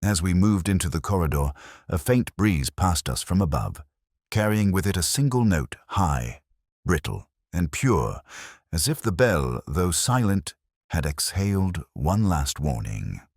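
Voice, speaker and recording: A man narrating a detective story in a measured, solemn, suspenseful way. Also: sits low, very animated, breathy edge, no room sound, studio quality. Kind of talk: scripted dramatic story narration.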